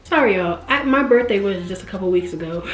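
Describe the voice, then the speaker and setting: A woman in her twenties chatting casually and expressively to her viewers, jumping up in pitch when she stresses a point. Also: expressive, normal speed. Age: 20s. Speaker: vlogger. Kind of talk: casual direct-to-camera chat. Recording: roomy echo with faint music.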